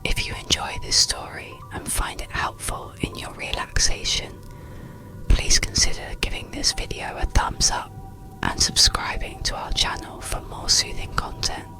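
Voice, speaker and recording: A narrator whispering a bedtime story and guided relaxation in a slow, gentle, soothing ASMR style. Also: low-quality recording.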